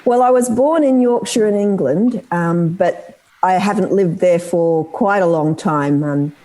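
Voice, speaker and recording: An older woman, a guest, speaking in an unhurried way with lively intonation. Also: on the low side, rough recording. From Australia.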